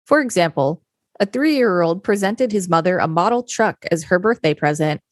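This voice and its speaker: A woman's voice: fairly low pitch, lively intonation, normal speed, no room sound, clean studio sound. A woman co-hosting a podcast, narrating an encyclopedia article read straight from the text.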